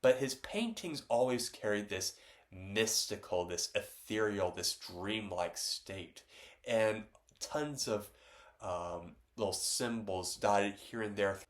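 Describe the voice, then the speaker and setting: A man, congested from a stuffy nose, talking loosely about his own artwork, with many pauses and restarts. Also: fairly low pitch. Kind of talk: casual reflective talk to camera.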